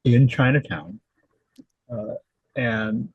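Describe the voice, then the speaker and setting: A man in his seventies from Southern California, answering interview questions in a thoughtful, halting way with many fillers and restarts. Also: medium pitch, husky, no echo, highs cut off. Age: 70s. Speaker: guest, historical society president.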